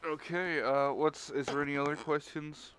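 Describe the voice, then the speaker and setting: A man's voice: fairly high pitch, fairly even intonation, normal speed, a bit bright, a little hiss or hum. A man talking casually as a co-host in a group livestream chat. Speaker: stream co-host.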